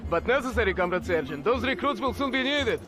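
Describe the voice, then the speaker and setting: Eager young Soviet captain, rallying troops in a game cutscene, over a loud crowd. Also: male voice, extremely high pitch, animated, fast talker. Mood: rousing. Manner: rousing, shouting speeches to troops. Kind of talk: video game cutscene acting.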